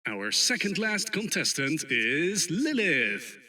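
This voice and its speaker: A man voicing an upbeat, formal skating competition announcer in a visual novel game, with measured, showy delivery. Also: on the high side, sing-song, sharp tone, exaggerated voice. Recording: faint crowd noise behind.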